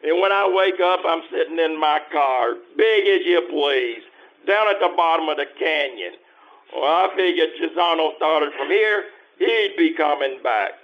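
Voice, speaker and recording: A man's voice: a little high, dull audio, close to an everyday voice. A man playing a pushy, slangy small-time hoodlum in an old-time radio crime drama. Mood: grumbling, baffled. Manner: streetwise, slangy, halting. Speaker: community radio troupe actor.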